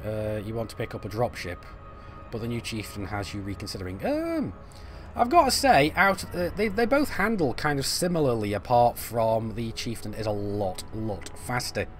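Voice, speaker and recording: A man's voice: medium pitch, slight background noise. A middle-aged man, chatting casually on a live stream while he plays, reading and answering viewer messages with lots of fillers and restarts. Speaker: game streamer.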